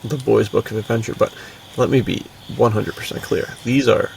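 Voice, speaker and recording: A man with a gravelly, creaky voice, talking as a podcast co-host in a casual, enthusiastic, slangy way. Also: mid-range voice, steady tone, normal speed, recorded from a distance, a little hiss or hum, poor audio.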